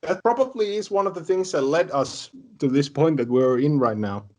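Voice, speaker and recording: A man's voice: fairly high pitch, normal speed, a little mellow. A young man from Finland with a Finnish accent, rambling through a casual video call chat and laughing between phrases. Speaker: fan film creator.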